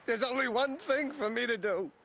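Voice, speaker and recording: Shrill, ranting cartoon duck detective. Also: male voice, normal speed, bright, forceful tone, exaggerated voice. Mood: despairing. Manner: whining, belly-aching, explosive complaining. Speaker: private detective. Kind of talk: animated comedy acting.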